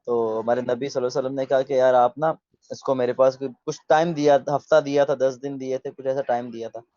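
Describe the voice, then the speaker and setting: A man in his thirties in a casual video chat, relaxed and opinionated, slipping English phrases into his talk. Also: medium pitch, fast talker, dry recording. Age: 30s. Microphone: video call mic. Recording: dull lo-fi video call audio.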